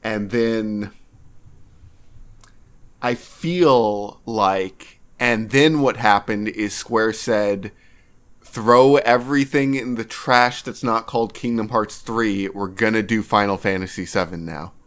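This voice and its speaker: A man's voice: on the low side, slow, fairly bright tone. A man co-hosting a podcast, chatting casually about video games in a blunt, opinionated way. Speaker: podcast co-host. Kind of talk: casual video game discussion.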